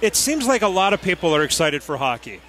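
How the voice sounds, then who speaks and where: A man's voice: sits high, big pitch swings, quick pace, fairly bright tone, slight background noise. A man hosting a live sports radio broadcast interview from a hockey arena. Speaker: radio play-by-play host.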